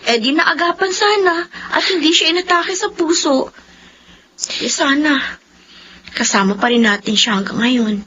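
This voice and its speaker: A woman's voice: high-pitched, moderate pace, pauses often, pushed and bright. A woman playing a caring, anxious young daughter in a Filipino radio drama, earnest and emotional. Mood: caring, nagging. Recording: muffled, noisy radio drama recording.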